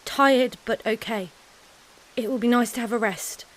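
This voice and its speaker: A weary woman survivor , played by an actress, speaks in a fairly high voice. She is a protective sister in a zombie audio drama. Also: fairly even intonation, measured pace, lightly acted, low-quality recording. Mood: tired.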